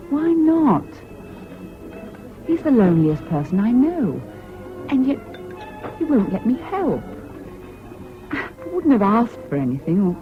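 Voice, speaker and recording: An actress playing an emotional, proud young Englishwoman in wartime in a 1970s British radio drama. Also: mid-range voice. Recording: dull old radio recording, music behind.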